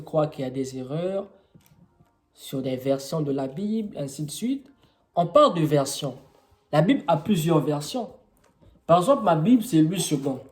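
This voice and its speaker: Young Congolese man, French with Congolese accent, Kituba native speaker, warm voice, informal teaching with rhetorical questions. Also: a little high. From Congo-Brazzaville. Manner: explanatory, with rhetorical questions and many fillers.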